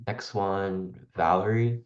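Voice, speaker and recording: A man's voice: sits low, steady tone, slow, dull audio. A man organizing an online class, calling on participants one by one in a brief, matter-of-fact way. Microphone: video call.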